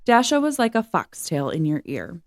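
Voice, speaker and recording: Woman reading a story aloud, lower-pitched, creaky. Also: huge pitch swings, normal speed. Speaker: reader of a children's book. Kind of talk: scripted story narration, read aloud.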